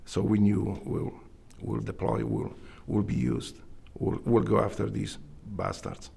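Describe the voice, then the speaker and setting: A middle-aged man with a Polish accent, earnestly telling his story in an interview, often halting and restarting his sentences. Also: on the low side, little pitch movement, vocal fry, dark tone, slightly rough. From Poland. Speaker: interviewee, Navy SEAL veteran.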